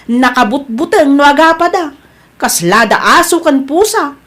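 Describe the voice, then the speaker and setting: A woman's voice: high-pitched, animated, moderate pace, a bit bright. A woman with an Ilocano accent playing a scandalized, tattling village storekeeper in an amateur audio drama. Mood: complaining.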